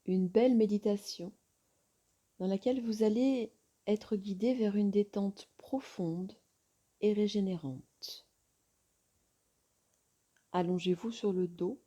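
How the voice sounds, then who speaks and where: A woman yoga teacher guiding a relaxation meditation in a soft, soothing, breathy voice with long pauses. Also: medium pitch.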